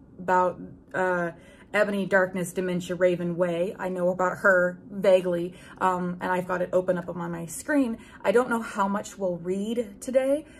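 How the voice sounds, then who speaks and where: A woman in her twenties speaking casually as a YouTube host, with wry, animated delivery as she chats or reads aloud. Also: medium pitch. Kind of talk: casual chat and reading fan fiction aloud with asides. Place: small room at home with bookshelves.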